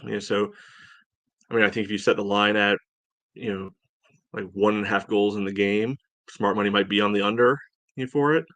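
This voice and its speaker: A man co-hosting a sports podcast, giving casual soccer analysis in a measured, think-aloud way. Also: lowish voice, steady tone, fairly bright tone, no echo.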